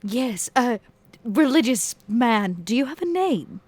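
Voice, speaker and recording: A woman's voice: fairly high pitch, big pitch swings, slow pace, a crowd in the background. A woman playing a tabletop role-playing game, speaking in character with a teasing, flirtatious manner. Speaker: player.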